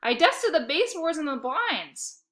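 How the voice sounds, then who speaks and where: Woman reading as an eager young boy, high-pitched. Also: big pitch swings, unhurried, fairly bright tone, exaggerated voice. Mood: eager, defensive. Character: eager, scheming young boy sweet-talking his dad.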